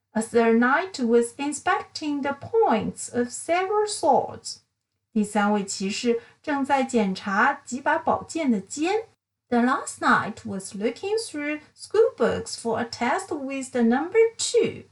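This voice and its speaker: A woman reading a picture book aloud, telling the story clearly and evenly, alternating lines. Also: sits high. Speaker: storybook reader. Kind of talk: bilingual read-aloud.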